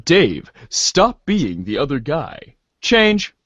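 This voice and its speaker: Casting: male amateur narrator, mock-grave and commanding, unhurried with big pitch swings, slightly muffled recording. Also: huge pitch swings, a bit bright, no room sound. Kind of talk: dramatic amateur reading of webcomic narration.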